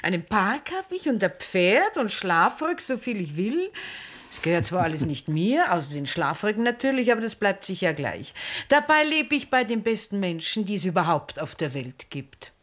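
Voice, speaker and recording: An actress playing a vivacious, effusive middle-aged former stage star with an Austrian accent in a 1960s radio drama. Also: female voice, mid-range voice, very animated, moderate pace, fairly bright tone. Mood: exuberant. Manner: theatrical, effusive, often breaking into laughter. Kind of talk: 1960s German-language radio drama acting.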